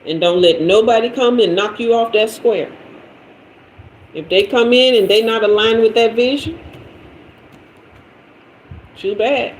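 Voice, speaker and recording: A woman's voice: on the high side, a little slow, pauses often. A woman in her fifties hosting a tarot livestream, chatting loosely with viewers in a slangy, conversational way. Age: 50s. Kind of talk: casual livestream chat reading comments. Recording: roomy echo with faint noise.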